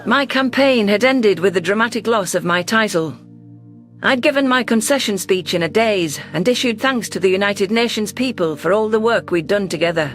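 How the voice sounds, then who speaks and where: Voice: mid-range voice, normal speed, a bit bright, soft background music. A narrator reading first-person science fiction in a scripted, dramatic storytelling style. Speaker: narrator of sci-fi audio stories.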